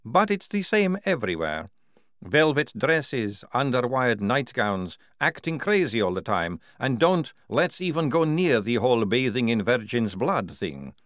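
A man voicing a young female vampire soldier who passes as male, with a brisk, wry, chatty manner, in an audiobook story. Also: mid-range voice, sing-song, fairly bright tone, no echo, clean studio sound. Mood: wry, exasperated.

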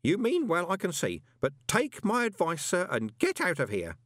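A man's voice: high voice, wildly swooping pitch, normal speed, a bit bright, dry recording, voice pushed up, exaggerated voice. A man voicing an earnest, sentimental old postal worker who speaks deferentially and wearily in an audiobook. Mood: earnest warning. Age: older. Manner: deferential, calls people sir.

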